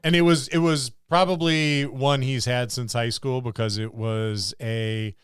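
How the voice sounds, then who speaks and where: A man with a clean, smooth voice at an average pitch chats as a podcast co-host. He rambles enthusiastically about gaming, and the recording is close and dry. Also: fairly even intonation, a little slow, no room sound. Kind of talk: casual podcast chat about tabletop gaming.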